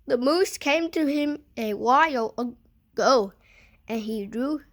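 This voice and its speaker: A woman reading a children's picture book aloud in a deliberate way, pausing between short phrases. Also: high-pitched. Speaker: storybook reader.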